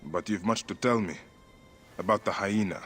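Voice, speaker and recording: A man playing a stern, terse hero in a video game, husky, with a dry, understated delivery. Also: fairly low pitch, normal speed, a bit bright, soft background music. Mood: dry, insistent. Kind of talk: video game cutscene acting.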